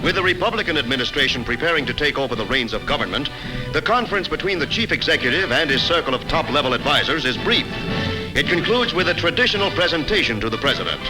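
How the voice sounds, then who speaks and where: A man's voice: fairly high pitch, expressive, fast talker, music in the background, rough recording. An American man narrating a 1950s newsreel in a formal, emphatic announcer style, on a vintage soundtrack.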